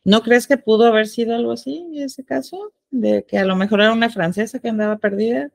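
A woman's voice: fairly high pitch, fairly quick, creaky, no room sound. Curious young Mexican woman, dry mic. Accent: Mexican Spanish. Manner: inquisitive, explains and poses questions, laughs easily. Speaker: podcast co-host. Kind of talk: casual chat.

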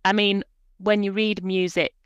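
Smooth, dry-voiced woman in her forties. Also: mid-range voice, flat delivery, a little slow, no echo, dull audio. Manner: chatty, reflective, many fillers and small laughs. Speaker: guest, proofreader and editor. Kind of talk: conversational podcast interview.

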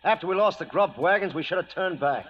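An actor playing a bitter, grumbling American cowhand in a 1940s radio western, sardonic and complaining. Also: male voice, high voice, fast talker, natural voice. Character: bitter, grumbling cowhand who questions the boss. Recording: dull 1940s radio recording.

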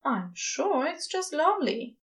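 A woman's voice: high-pitched, big pitch swings, unhurried, pitched above the performer's own voice. A woman voicing a prim, curious, fond male angel with polite, old-fashioned phrasing in a fan fiction audiobook. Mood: curious, gently coaxing.

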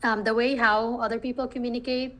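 Woman, slightly high voice, full of fillers. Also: little pitch movement, fairly quick, fairly bright tone. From Philippines. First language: Filipino language. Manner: hesitant, many restarts and "you know" fillers. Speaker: virtual assistant business owner. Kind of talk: interview answers.

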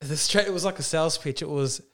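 A man telling stories in casual, animated, self-mocking podcast banter. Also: on the high side, fast talker. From Australia, Lebanese background. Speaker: podcast co-host.

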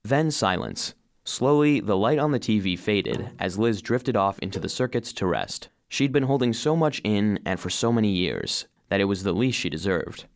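A man's voice: fairly low pitch, animated, moderate pace. A man playing an earnest, eager cleaning robot who narrates his own story expressively in a children's audio drama. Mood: uncertain, surprised.

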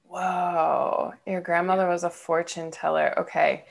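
A woman's voice: fairly low pitch, level tone, measured pace, vocal fry. A woman from Ohio hosting a podcast, talking casually and rambling with fillers and laughs. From Ohio, USA. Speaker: podcast host. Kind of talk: casual conversation.

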